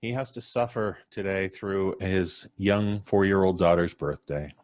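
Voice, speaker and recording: A man's voice: low voice, steady tone, unhurried, darkish tone. A man from Canada hosting a casual live stream, introducing guests in a jokey, showy announcer style.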